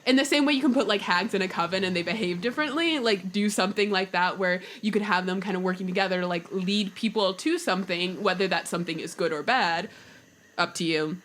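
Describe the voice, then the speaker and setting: A woman's voice: medium pitch, brisk, a bit bright, slight background noise. A woman speaking as a podcast guest in a casual panel chat, informal and conversational.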